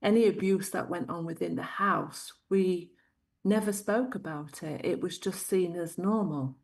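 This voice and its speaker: A woman's voice: lowish voice, measured pace, gravelly, a little mellow. A middle-aged woman with a Yorkshire accent, a guest telling her life story thoughtfully and laughing often. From Yorkshire, England. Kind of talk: interview storytelling.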